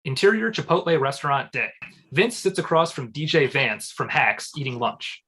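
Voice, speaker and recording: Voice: mid-range voice, lively intonation, normal speed, bright, forceful tone, slightly rough. A narrator reading scripted stage directions aloud at a live comedy table read.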